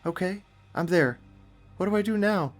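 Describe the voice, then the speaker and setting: A man voicing an excitable, pushy young woman in a horror story reading. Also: high voice, moderate pace, soft background music, voice pushed up. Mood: unsure, questioning. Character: eager young woman on a walkie-talkie, bold, oblivious.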